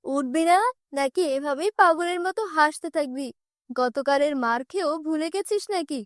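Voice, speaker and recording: A woman's voice: high-pitched, moderate pace, no echo, studio quality, close to an everyday voice. A woman voicing a cruel middle-aged stepmother in a cartoon, scolding with contempt and threat.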